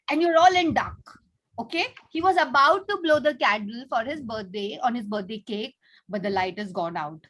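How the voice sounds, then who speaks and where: High-pitched Indian woman teaching children. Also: a bit bright, poor audio. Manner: encouraging, instructive. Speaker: teacher hosting an online kids' class. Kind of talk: interactive class talk to children. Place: online video call. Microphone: video call.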